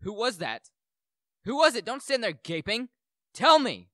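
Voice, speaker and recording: A man's voice: very high voice, very animated, unhurried, a bit bright, dry recording, studio quality, exaggerated voice. A teenage male student actor playing a furious, reclusive widowed master of the house in a radio play, curt and commanding. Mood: irritated, commanding.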